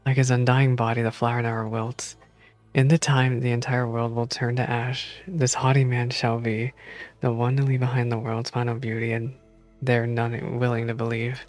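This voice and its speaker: Guy streaming a game, reads the story out loud, quiet music under him. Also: medium pitch, flat delivery, moderate pace. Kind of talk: reading game story text aloud, casual reactions.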